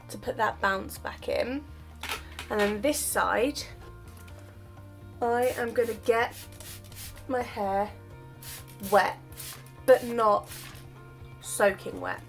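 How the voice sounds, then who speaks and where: Chatty woman doing her hair tutorial. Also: fairly high pitch. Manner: chatty, self-deprecating, explains while doing. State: recovering voice after illness. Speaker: hair vlogger. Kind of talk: casual hair tutorial chat.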